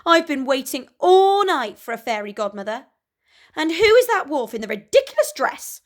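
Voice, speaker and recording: A woman's voice: high voice, big pitch swings, normal speed, voice pushed up. A woman voicing a spoiled young princess in a storybook, screeching and indignant.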